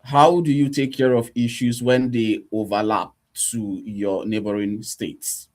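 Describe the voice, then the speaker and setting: A man's voice: mid-range voice, fairly even intonation, unhurried, a little mellow. A man hosting a radio interview, putting questions in a formal, courteous way.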